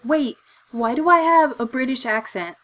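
Giggly young woman with a high voice. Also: lively intonation, normal speed, a bit bright, faint music behind. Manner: giggly, bemused, pressing with questions. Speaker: gamer. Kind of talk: let's play commentary. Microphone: desk microphone.

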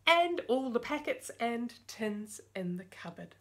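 A middle-aged woman reads a picture book for young children. Her voice sits a little high, and she tells the story expressively and in simple terms. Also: sing-song, unhurried, a bit bright, a little hiss or hum. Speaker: storytime host reading aloud. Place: small indoor room with bookshelves.

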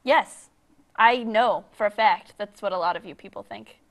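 A young woman co-hosting a science show with casual, deadpan, teasing banter. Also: medium pitch, moderate pace. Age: young adult. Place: studio set.

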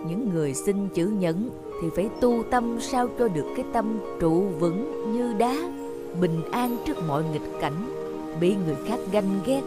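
A woman voicing a calm, wise old calligraphy teacher in a cartoon, explaining slowly and gently. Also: mid-range voice, close to an everyday voice. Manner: slow, gentle, measured explaining. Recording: music behind the voice.

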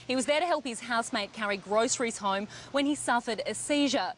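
A woman reporter giving a brisk live news report. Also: fairly high pitch, slight background noise.

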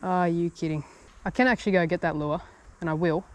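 A man talking to himself in quick bursts and low asides while fishing a bush creek, recorded on a head-mounted camera outdoors. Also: steady tone, poor audio. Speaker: fishing vlogger. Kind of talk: casual self-talk while fishing. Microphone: head-mounted action camera.

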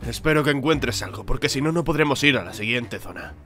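A man's voice: mid-range voice, animated, a little fast, fairly bright tone, soft background music, close to an everyday voice. A man voicing a bossy, short-tempered, foul-mouthed squad leader in a comedy cartoon fandub. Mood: dismissive. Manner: brusque, impatient, commanding.

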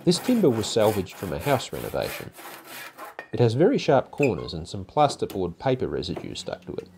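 An older man narrating a woodworking project in a calm, methodical, step-by-step way, as host of his own workshop channel. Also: fairly low pitch, a little fast, vocal fry, background noise. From Australia. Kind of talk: instructional voice-over narration. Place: home garage workshop.

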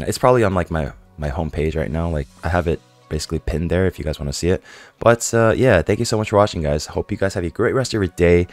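Low-voiced hoarse young man chatting gameplay. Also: dry recording. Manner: chatty, rambling, many fillers and self-questions. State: hoarse from a cold. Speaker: gaming YouTuber. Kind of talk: casual game commentary to viewers.

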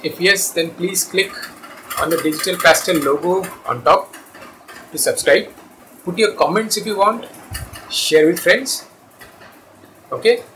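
A man with a bright, forward voice hosts an illustration channel. He explains in short, simple phrases, pitched a little high. A bit of noise sits behind him in a small indoor room. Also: unhurried, pauses often, low-quality recording. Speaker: host, cartoonist and illustrator. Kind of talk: scripted-style video introduction to camera.

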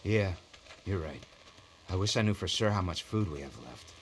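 A man's voice: sits low, animated, normal speed, a little hiss or hum. A man with an American accent playing a frustrated, hungry, talkative survivor in a video game. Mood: grumbling, wry.

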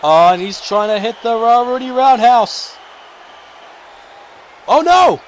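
A man calling a video game wrestling match play-by-play, shouting excitedly and going hoarse. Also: slow pace, slight background noise. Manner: shouting, high-pitched and strained, losing his voice. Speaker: commentator.

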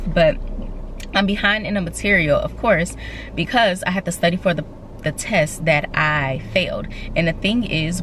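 A woman in her thirties chats to her viewers from the driver's seat, candid and confiding. Her voice sits on the lower side. It is caught by a camera mounted away from her, with steady noise in the background. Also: recorded from a distance. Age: 30s. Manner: chatty, confiding, addresses viewers as y'all. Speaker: vlogger, army medical trainee. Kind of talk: casual vlog chat to camera. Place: inside a parked car. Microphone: camera on the dashboard.

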